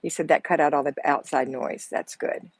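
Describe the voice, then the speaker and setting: Soft-spoken woman, husky and low. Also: steady tone, normal speed, dry recording. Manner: chatty, motherly, calls viewers honey and girls. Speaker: home organizing coach hosting a live chat. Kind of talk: casual live-stream chat reading comments. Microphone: earbuds with mic.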